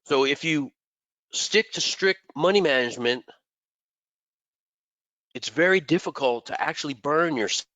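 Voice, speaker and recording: A man's voice: on the high side, lively intonation, a little slow, long pauses, a bit bright, no echo, highs cut off. A man teaching trading in a loose live session, congested and rambling, often repeating words. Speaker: trading mentor. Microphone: desk microphone.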